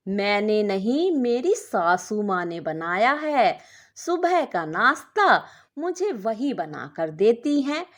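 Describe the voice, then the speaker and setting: A woman storyteller voicing a modest, contented young working wife, speaking gently and earnestly. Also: mid-range voice, very animated, slow. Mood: cheerful.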